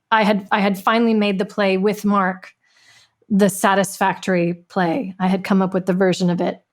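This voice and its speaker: Female playwright in conversation, medium pitch, reflective, self-correcting delivery. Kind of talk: reflective discussion of own work.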